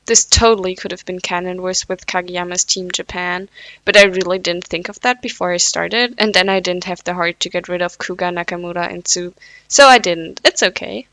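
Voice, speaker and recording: A woman's voice: on the low side, normal speed, pushed and bright. A woman reading fanfiction aloud at home, with casual, self-deprecating asides; English is not her first language. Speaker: podfic reader.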